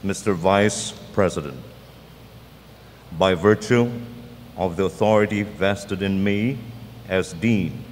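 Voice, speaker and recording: A man with a very deep voice reads a formal presentation into podium microphones. His words ring out with a long hall reverb. Faint noise sits underneath. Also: slow, long pauses, a little mellow. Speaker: college dean. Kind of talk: formal ceremonial address.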